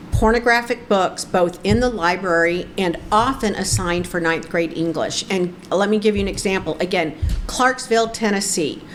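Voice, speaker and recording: A woman's voice: medium pitch, a little hiss or hum, poor audio. A middle-aged woman from Colorado, a guest on an interview, speaking in a firm, passionate, emphatic way. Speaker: guest, advocate.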